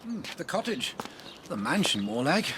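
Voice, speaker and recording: A kindly, earnest doctor and companion in Victorian London. His voice is lively in pitch, and he sounds eager and a touch flustered. He is a figure in a radio play. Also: moderate pace, noisy background. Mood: polite, eager. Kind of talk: radio drama acting.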